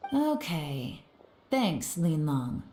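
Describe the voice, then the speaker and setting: A woman voicing a cool, shrewd investigator in a video game, measured, self-assured and in command. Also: low voice, big pitch swings, noisy background, exaggerated voice. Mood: smooth, polite. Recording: roomy echo, faint music behind.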